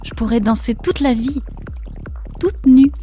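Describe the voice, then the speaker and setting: A woman acting a languid, flirtatious dancer in a French audio drama, with a breathy, husky voice. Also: high voice, measured pace, dark tone, soft background music. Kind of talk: French fiction podcast acting.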